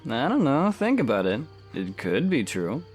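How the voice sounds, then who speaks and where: A man playing a calm, stoic young swordsman hero with an understated, dry humour in a fan audio drama. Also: medium pitch, normal speed, husky, soft background music. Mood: earnest, dry.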